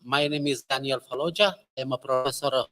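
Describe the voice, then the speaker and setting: A man's voice: mid-range voice, steady tone, a little fast, sharp tone. Romanian accent guy, physics prof, introducing a student, emphatic, mid voice. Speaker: physics professor. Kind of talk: formal introduction speech.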